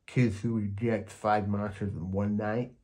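A scruffy storybook boy monster, acted out by a man, low and slobbery. He drags his words, trying hard to be terrifying, and ends up whiny and goofy. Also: flat delivery, dark tone, lightly acted. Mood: whiny, sulky. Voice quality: slurping, slobbery. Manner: drawn-out, whining, trying to sound terrifying. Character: big scruffy whiny slobbering male monster with long tongue.

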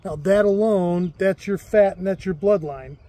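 A man's voice: sits high, moderate pace, warm tone. A man hosting a fishing show outdoors by a lake, chatting casually and rambling with fillers and restarts. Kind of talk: casual outdoor chat and demonstration. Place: lakeside outdoors.

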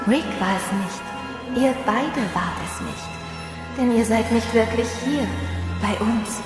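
Actress doing a dead woman's ghost, talks real slow, eerie and haunting, huge reverb, horror radio play. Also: on the high side. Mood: eerie, insistent. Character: ghost of a murdered woman, eerie, calm, pleading. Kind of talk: German horror audio drama acting. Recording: long cavernous reverb, loud music behind.

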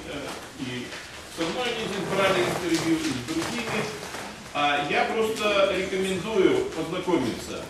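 An older male sociologist at a roundtable in a conference room, lecturing with hesitant pauses. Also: on the high side. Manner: lecturing, hesitant with many "э" fillers, shows charts. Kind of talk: panel discussion. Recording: echoey room from far off, noisy.

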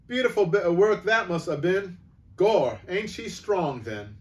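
A man's voice: high voice, big pitch swings, unhurried, recorded from a distance, slight background noise, pitched above the performer's own voice. A man doing a gleeful heckler. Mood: mocking. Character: jeering Cockney man in a crowd.

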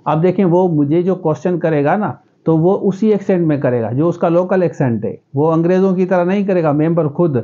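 A man's voice: a little high, a little fast, few pauses, dark tone, highs cut off. A middle-aged man from Pakistan with a Sindhi accent, a native Sindhi speaker, giving earnest advice to camera as an educationist in a small room. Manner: earnest, gestures with both hands. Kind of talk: direct-to-camera advice vlog.

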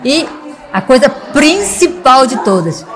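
A woman's voice: sits high, very animated, slow pace, over music. Earnest Carioca businesswoman guest. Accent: Carioca Brazilian Portuguese. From Rio de Janeiro, Brazil. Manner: earnest, forthright. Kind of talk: casual interview chat. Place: small studio in front of a logo wall. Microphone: studio mic on boom arm.

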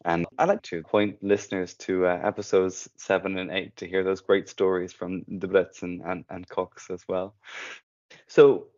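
Low-voiced man hosting a podcast. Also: level tone, moderate pace, darkish tone, highs cut off. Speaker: podcast host. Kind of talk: interview questions.

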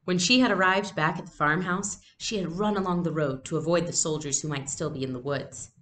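A young adult woman giving a read-aloud lesson, explaining things deliberately as a teacher. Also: low-pitched, a bit bright. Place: small room at home. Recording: noisy home webcam recording.

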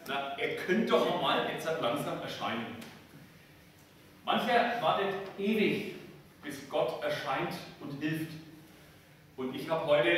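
A man in his thirties speaks as a pastor in a deliberate, fairly high voice, leading a church service. He is heard from a distance in a very reverberant church, with faint noise in the recording. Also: a bit bright. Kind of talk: church service greeting and liturgy. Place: inside a small church. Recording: very echoey church, far mic, faint noise.

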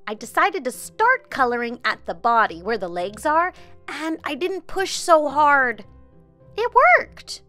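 A woman in her thirties hosting a children's craft show, narrating an experiment in an expressive, child-friendly way. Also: on the high side. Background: background music.

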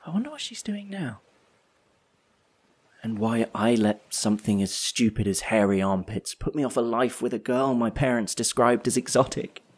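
A man's voice: medium pitch, expressive, normal speed, slightly rough. An actor playing a wistful, solitary drifter who tells his story in a reflective, wry monologue for an audio play.

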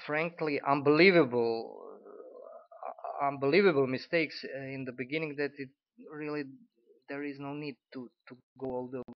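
Hesitant Croatian-accented man, lo-fi audio. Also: medium pitch. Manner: hesitant, frequent fillers and restarts. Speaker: commentator. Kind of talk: unscripted spoken critique. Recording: dull lo-fi audio.

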